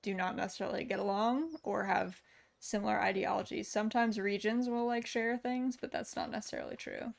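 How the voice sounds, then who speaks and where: Woman, gaming streamer, casual and rambling, light gravel in her voice. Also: mid-range voice, steady tone, a little fast. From United States. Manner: chatty, answers viewer chat aloud with many fillers. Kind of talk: casual chat while gaming.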